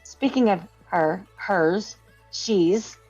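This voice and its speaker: A woman's voice: medium pitch, animated, drawn-out words, darkish tone. A woman co-hosting a podcast, chatting playfully, reading out chat questions and laughing at herself. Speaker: podcast co-host. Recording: muffled lo-fi stream audio with faint music.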